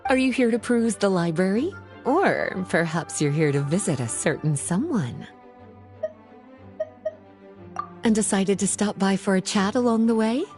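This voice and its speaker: A woman playing a playful, teasing scholarly librarian witch in a video game, polished and unhurried. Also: medium pitch, sing-song, a little mellow, soft background music, lightly acted. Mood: coyly apologetic. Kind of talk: video game voice acting, scripted dialogue.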